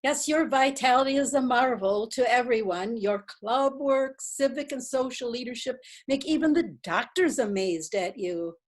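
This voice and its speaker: A woman playing a modest, elderly widow, mild and gently reproachful, in a readers theatre play. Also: a little high, moderate pace, hardly any pauses, lightly acted.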